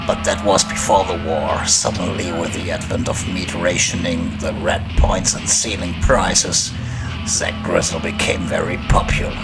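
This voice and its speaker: An actor playing a gleefully ghoulish old storyteller who narrates a horror audio drama in a macabre, mock-sinister manner. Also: male voice, low voice, flat delivery, moderate pace, vocal fry. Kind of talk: theatrical horror anthology narration. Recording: roomy echo, distant, loud music underneath.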